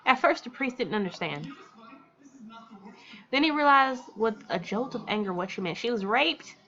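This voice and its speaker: A woman hosting a casual let's play in a very bright voice, caught on a slightly muffled microphone. She reads visual novel narration and drops in candid, slangy commentary. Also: a little high, expressive, normal speed. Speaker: gaming video host.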